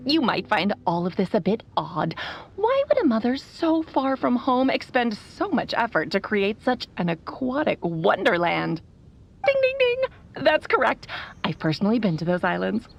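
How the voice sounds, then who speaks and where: Playful doting sorceress mom, high-pitched, very animated, theatrical game dialogue, music behind, dull sound. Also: female voice, moderate pace, gravelly, exaggerated voice. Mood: cheerful, playful. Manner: theatrical, teasing. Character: playful, theatrical, doting sorceress mother speaking through a phonograph.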